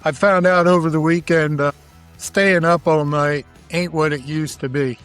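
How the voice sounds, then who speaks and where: A man's voice: a little high, fairly even intonation, normal speed, a bit bright, smooth, faint music behind. A middle-aged man with an American accent, an interview guest casually telling stories about his work. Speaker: interview guest, barbecue cook.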